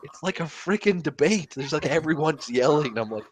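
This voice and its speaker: A young Canadian man co-hosting a podcast over a video call, telling long tipsy stories loudly with lots of restarts. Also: a little high, lively intonation, brisk, slight background noise. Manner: rambling, loud, tipsy storytelling with restarts. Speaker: podcast co-host. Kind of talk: casual chat. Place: small room at home. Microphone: headset on a video call.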